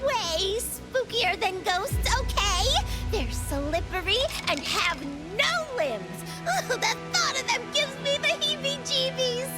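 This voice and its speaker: Loud game music plays under a cheeky, excitable young anime adventurer. The voice is high and swings widely in pitch. She is dramatic and playful, full of exclamations, in the manner of a dubbed game heroine. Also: slow pace, full character voice. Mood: spooked, squeamish. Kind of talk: English anime game dub acting. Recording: prominent game music behind the voice.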